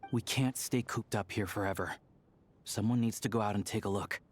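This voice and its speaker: An actor playing an easygoing, dependable young theater worker in a video game, speaking in a plain, informal, steady way. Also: male voice, fairly low pitch, moderate pace, husky. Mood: calm, resolute.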